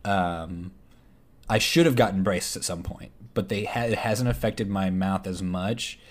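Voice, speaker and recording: A man's voice: low-pitched, a little slow. A young man from Texas with a slight Texas twang, a podcast co-host in rambling casual chat. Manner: chatty, rambling, looks things up mid-talk.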